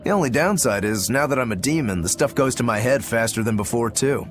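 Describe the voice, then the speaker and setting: Man as a swordsman war demon, easygoing, teasing, middle pitch, video game voice. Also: lively intonation, brisk, soft background music, lightly acted. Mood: savoring. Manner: laid-back, teasing. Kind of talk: video game cutscene acting.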